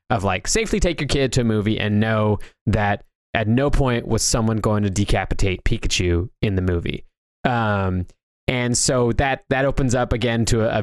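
A man's voice: on the low side, no room sound. Man talking casually, dry close sound. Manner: conversational, anecdotal. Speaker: talk show co-host. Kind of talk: casual film discussion.

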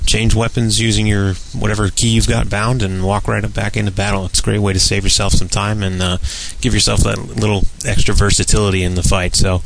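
A man with a gravelly, lowish voice leaves a relaxed, matter-of-fact voicemail. Faint hiss sits under the recording. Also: brisk, hardly any pauses. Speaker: caller. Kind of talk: voicemail comment.

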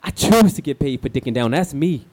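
A man's voice: a little high, animated, fairly quick, a little mellow, husky. An actor playing a candid, streetwise young male escort as a radio show guest, with an American accent and slangy, confiding talk. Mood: defiant, angry. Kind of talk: radio play acting, slangy conversational monologue.

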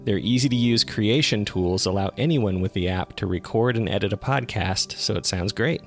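A man's voice: fairly low pitch, moderate pace, faint music behind. A man hosting a podcast, reading scripted narration straight to the listener. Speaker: podcast producer and host.

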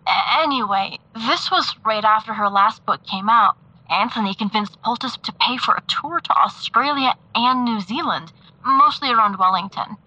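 A sleepless, hesitant woman in an audio drama. Her voice is fairly high and bright, and she talks in self-conscious starts. The sound is slightly dulled. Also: muffled recording. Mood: sheepish. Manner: hesitant, halting. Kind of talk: naturalistic audio drama acting.

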